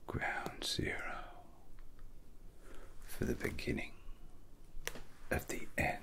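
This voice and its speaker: A young man voicing a cold, megalomaniac male villain in a soft, breathy, threatening ASMR whisper, slow and theatrical. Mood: grandiose menace. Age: young adult.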